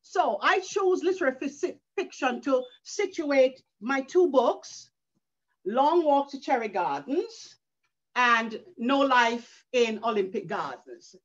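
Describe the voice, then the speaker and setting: A woman's voice: high-pitched, expressive, slow pace. An older woman from the Caribbean, an author and educator, speaking earnestly on a video call from her living room, with frequent restarts. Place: living room at home, indoors. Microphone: video call webcam. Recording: dull lo-fi call audio.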